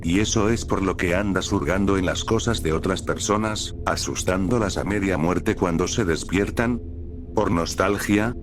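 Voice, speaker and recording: An irritated, impatient camper from a horror tale, played by a male narrator. He speaks low, in an indignant, questioning way. There is faint ambient noise behind the voice. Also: normal speed, close to an everyday voice. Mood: angry. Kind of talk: acted dialogue in horror storytelling.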